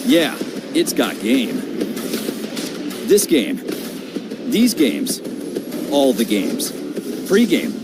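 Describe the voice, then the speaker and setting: A man's voice: medium pitch, very animated, extremely slow, long pauses, very noisy. A man reading a scripted promotional ad in punchy, short phrases. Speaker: commercial announcer.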